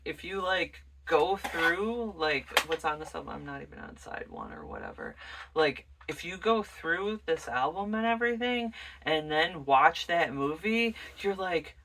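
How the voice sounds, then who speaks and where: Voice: mid-range voice, pushed and bright, recorded from a distance. A vlogger chatting casually and informally in a rambling way as host of a music collection show. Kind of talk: casual rambling vlog chat.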